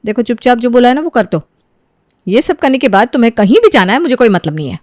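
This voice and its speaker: Woman acting a shrill, bossy young housewife. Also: very animated, fast talker, a little mellow, no room sound, studio quality. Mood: bossy, curt. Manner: shrill, haughty, nagging. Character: bossy, short-tempered young housewife scolding her maid. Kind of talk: dramatised Hindi moral story.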